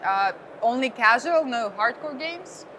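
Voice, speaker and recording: Higher-voiced Russian woman interviewing amid noise. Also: lively intonation, unhurried, sharp tone, low-quality recording. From Saint Petersburg, Russia. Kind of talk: casual conversational interview. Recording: clear background noise, busy venue ambience.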